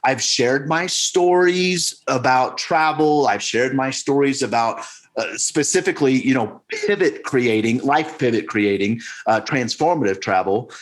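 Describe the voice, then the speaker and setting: A man's voice: medium pitch, a little slow. A man talking earnestly as a podcast guest in casual conversation, often restarting his sentences.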